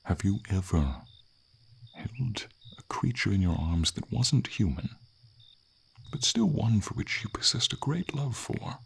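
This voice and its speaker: Man voicing a deep, raspy, slow old dragon. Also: sing-song, heavily acted. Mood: sorrowful. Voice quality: deep, breathy rasp, often dropping to a whisper. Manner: solemn, tender, formal storybook diction. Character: old friendly silver dragon, wistful protector of a knight. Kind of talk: ASMR fantasy roleplay, dramatic monologue.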